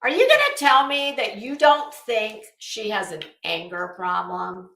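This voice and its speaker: A woman's voice: medium pitch, animated, unhurried, vocal fry, sharp tone. A woman livestream host on a casual rant to her chat, blunt and coarse, with an emphatic, sarcastic, challenging delivery. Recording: echoey room.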